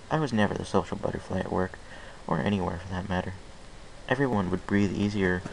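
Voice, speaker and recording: A man narrates a first-person story with an earnest, unpolished delivery. His voice is very deep and carries a gravelly fry. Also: a little hiss or hum, poor audio. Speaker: fan fiction reader.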